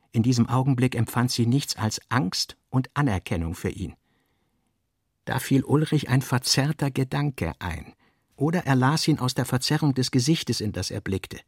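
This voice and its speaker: A man reading literary prose as scripted narration, in a measured way with deliberate phrasing. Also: medium pitch, no echo, clean studio sound.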